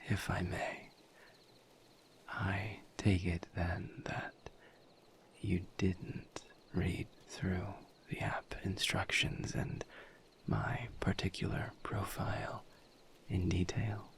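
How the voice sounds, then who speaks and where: A man playing a calm, gentle, formal misery demon in an intimate roleplay audio, with a hushed, breathy voice and measured, deliberate phrasing. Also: deep voice, exaggerated voice. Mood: patient, gentle. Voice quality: hushed, breathy near-whisper. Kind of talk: soft-spoken roleplay audio, intimate monologue to listener.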